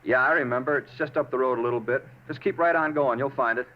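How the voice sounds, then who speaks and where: An actor in a 1950s radio drama playing a moody, self-justifying murder suspect who rambles insistently and casually. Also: male voice, medium pitch, fast talker, lightly acted. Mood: calm, eager. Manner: rambling, insistent, casual about his crime. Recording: muffled 1950s radio, faint noise.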